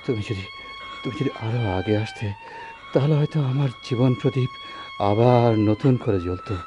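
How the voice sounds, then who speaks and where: An actor playing a dying, embittered but tender doctor in a radio drama, speaking in a halting, poetic, theatrical way. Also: male voice, medium pitch, muffled recording, background noise, close to an everyday voice. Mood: despairing. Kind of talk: Bengali radio drama acting.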